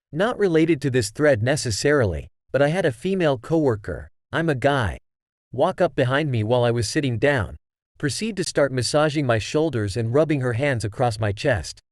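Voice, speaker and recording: A man's voice: mid-range voice, darkish tone, no room sound, studio quality. A man narrating a series of online stories aloud in a scripted, read-aloud style.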